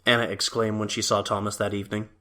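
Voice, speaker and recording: A man's voice: lowish voice, little pitch movement, fast talker, pushed and bright. A man reading scripted story narration for an audio drama.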